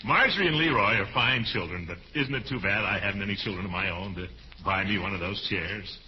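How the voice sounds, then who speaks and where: An actor playing a blustery, jovial, thrifty middle-aged bachelor uncle in a 1940s radio comedy, with a drawn-out delivery. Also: male voice, lowish voice, close to an everyday voice. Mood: wistful chatting. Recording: dull, hissy vintage radio recording.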